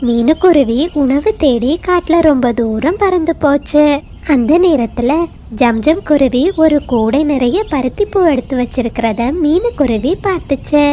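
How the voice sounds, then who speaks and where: A woman's voice: high voice, expressive, measured pace, dark tone, a little hiss or hum. A woman narrating a scripted moral story for children.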